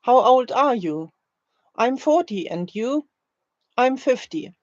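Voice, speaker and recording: Woman, language teacher, slow deliberate phrasing, scripted drill reading, dry close sound, slightly lo-fi. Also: a little high, expressive, a little mellow, no room sound. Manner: deliberate, carefully spaced short phrases.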